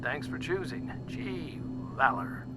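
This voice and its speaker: An actor playing a condescending, cocky private jet captain in an audio drama, pompous and commanding in manner. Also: male voice, mid-range voice, sing-song, a little slow. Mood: cheery, polished. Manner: pompous, smooth cabin announcements that snap into barking.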